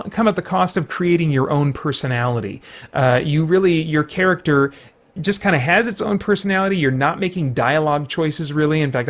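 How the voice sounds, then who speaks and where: A man giving a casual spoken game review, rambling with many fillers and restarts and sounding mildly exasperated. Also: a little high.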